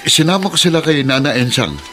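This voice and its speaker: Devoted husband and father, cheerful, fairly high voice, music behind, radio drama. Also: expressive, a little fast, sharp tone. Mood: tender, hopeful.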